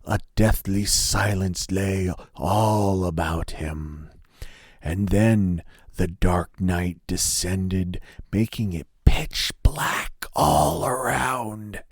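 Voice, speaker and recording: A man narrating a scripted fairy tale as a storyteller, in a measured, dramatic manner. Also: lowish voice, husky, no echo, studio quality.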